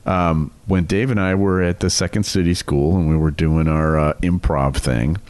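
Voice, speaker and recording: A middle-aged American man co-hosting a comedy podcast, telling stories casually in a teasing, animated way. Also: low-pitched, moderate pace, no room sound. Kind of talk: casual comic storytelling.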